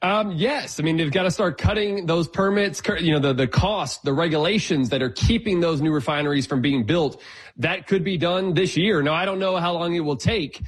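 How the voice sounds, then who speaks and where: A man talks through the economics at an even, measured clip, often restarting a thought before he lands it. His voice sits a bit high and comes out husky. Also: low-quality recording. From Houston, Texas. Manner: measured, explanatory, with frequent restarts. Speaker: economist guest. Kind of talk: explanatory radio interview.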